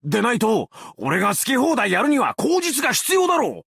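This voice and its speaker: A man playing a brash, cocky explosives fanatic with a tail in an anime game, talking in rough, swaggering slang with a gravelly voice. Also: very high voice, wildly swooping pitch, a little fast, fairly bright tone, no echo, clean studio sound, full character voice. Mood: teasing, swaggering. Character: brash tailed male explosives fanatic, cocky bureau officer. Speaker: game character voice lines. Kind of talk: Japanese anime game voice acting.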